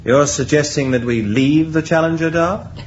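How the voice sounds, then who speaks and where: An actor playing a cautious, doubtful spaceship crewman in a radio drama, measured and questioning. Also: on the high side, natural voice. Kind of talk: 1980s radio drama acting. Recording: dull old radio recording, light noise.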